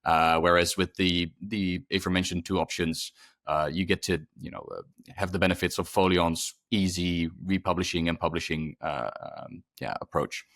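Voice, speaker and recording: A man's voice: sits low, steady tone, normal speed, a bit bright, no echo, clean studio sound. A man giving an unscripted webinar explanation as a product specialist, feeling his way through with repeated words.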